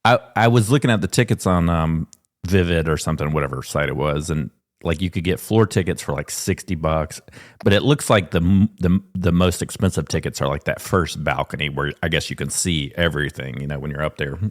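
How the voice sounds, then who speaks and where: A man's voice: very deep voice, fairly quick. A man hosting a podcast in a casual interview, rambling and restarting his sentences as he talks. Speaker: podcast host.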